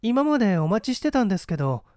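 A man's voice: sits high, big pitch swings, fast talker, a little mellow, no room sound, clean studio sound, voice pushed up. A man voicing a polite, composed young lady witness in a mystery audiobook, with courteous, refined speech.

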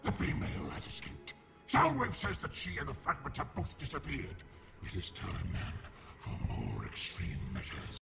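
A man playing a menacing robot villain commander in a video game, imperious, sneering and deliberate. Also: medium pitch, huge pitch swings, creaky, over music, exaggerated voice. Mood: cold resolve.

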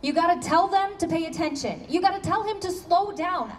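A woman's voice: very high-pitched, expressive, fairly quick. A young woman acting an ignored, aggrieved nineteen-year-old daughter in a staged play reading, insistent and complaining. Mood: upset, aggrieved. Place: small outdoor stage. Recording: distant mic outdoors, background noise.

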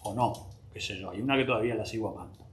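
A man's voice: fairly low pitch, racing. Argentine man in his sixties, echoey studio. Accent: Argentine Spanish. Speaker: co-host. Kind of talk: casual comedic chat.

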